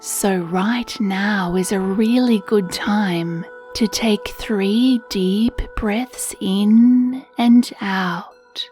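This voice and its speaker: A woman reads a children's bedtime meditation at an extremely slow, unhurried pace. Her voice is soft, mellow and hushed, with a soothing, breathy gentleness. Quiet music plays behind her. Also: mid-range voice. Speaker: meditation narrator. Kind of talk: children's sleep meditation storytelling, scripted narration.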